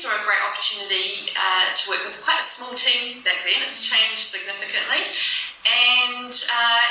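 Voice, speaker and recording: A woman's voice: mid-range voice, pushed and bright. A woman with a New Zealand accent, a health service worker, speaking upbeat and chatty in an interview. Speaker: health service staff member. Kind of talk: casual interview. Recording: echoey room, distant mic, noise.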